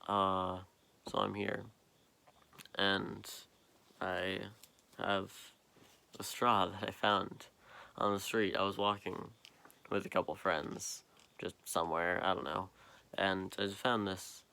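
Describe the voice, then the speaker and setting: A tired young man with a deep voice, rambling slowly in a late-night vlog, halting and full of fillers, recorded on a propped-up phone in a quiet basement. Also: level tone, creaky, smooth. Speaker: vlogger. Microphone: phone propped up nearby.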